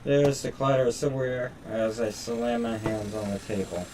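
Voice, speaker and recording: A man's voice: mid-range voice, fairly even intonation, moderate pace, a little mellow, far from the mic, a little hiss or hum, low-quality recording. A man reading a visual novel's narration aloud on a stream, in a dramatic storytelling style.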